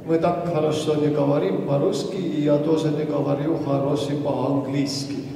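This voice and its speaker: A man's voice: fairly high pitch, fairly even intonation, normal speed, dark tone, recorded from a distance, a little hiss or hum. An Estonian man gives a stage thank-you speech in a language he is not fluent in, with broken, playful phrasing. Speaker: dance group representative giving a speech. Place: large hall with audience. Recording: echoey hall, recorded from afar.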